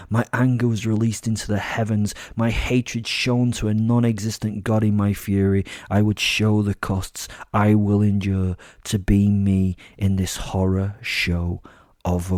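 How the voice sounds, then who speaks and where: A man's voice: fairly low pitch, mellow, dry recording, studio quality, natural voice. A man playing a savage, brooding werewolf who narrates his rage in a horror audio drama, menacing and emphatic. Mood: dark rage. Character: savage male werewolf, brooding and furious, refusing to care.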